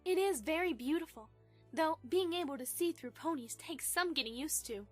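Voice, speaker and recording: A woman playing a gracious, earnest young pony princess, gentle and polite, in a fan audio drama. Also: high-pitched, animated, moderate pace, faint music behind.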